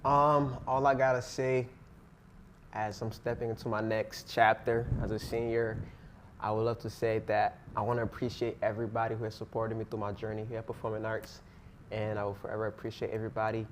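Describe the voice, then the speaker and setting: A man's voice: lowish voice, little pitch movement, normal speed, noisy background. A teenage boy who speaks English as a second language, answering interview questions in an earnest, rambling way with fillers. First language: not English. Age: teens. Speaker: interviewee, student actor.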